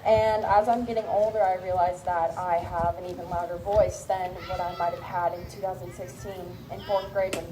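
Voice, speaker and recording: A woman's voice: fairly low pitch, level tone, normal speed, few pauses. A teenage girl, about fourteen, asking a question as an audience member at a public meeting. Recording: noisy live public event sound.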